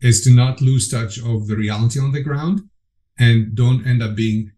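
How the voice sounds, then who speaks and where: A man's voice: mid-range voice, fairly even intonation, moderate pace, dark tone. A middle-aged man from Egypt, a program director speaking as a podcast guest, earnest and enthusiastic with frequent restarts. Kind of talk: conversational interview.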